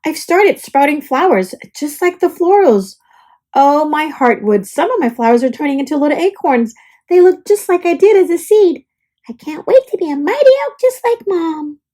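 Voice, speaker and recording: Lady doing a perky dramatic baby oak tree for a kids book, high voice, really bouncy pitch. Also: animated, moderate pace, warm tone. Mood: proud, delighted. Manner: bubbly, theatrical, exclaiming. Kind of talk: storybook diary read-aloud.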